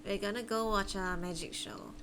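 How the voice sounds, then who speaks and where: A woman's voice: mid-range voice, fairly even intonation, moderate pace, noisy background. A woman chatting casually as she livestreams a game, rambling and hesitant as she explains things. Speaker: streamer playing a story game.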